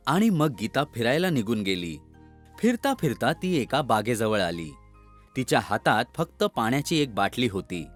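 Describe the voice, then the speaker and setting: A man narrating a scripted children's story as an expressive storyteller. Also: medium pitch, normal speed, soft background music.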